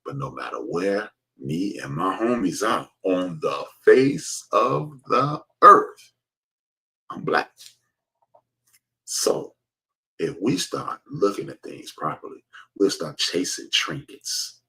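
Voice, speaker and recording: A man's voice: low voice, lively intonation, slow, a bit bright, slightly rough, rough recording. An American man giving an informal, emphatic opinion monologue to his viewers, preaching his point and repeating phrases for stress. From United States. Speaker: host of an online commentary show.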